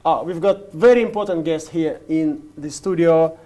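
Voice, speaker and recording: Russian-accented host, mellow higher voice. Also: male voice. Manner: halting, restarts, casual. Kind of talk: interview questions.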